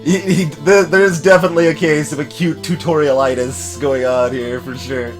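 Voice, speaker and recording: A man's voice: on the high side, fairly even intonation, moderate pace. A man doing live let's play commentary as a game streamer, with wry, joking asides. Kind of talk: live let's play commentary mixed with voiced dialogue reading. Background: game music.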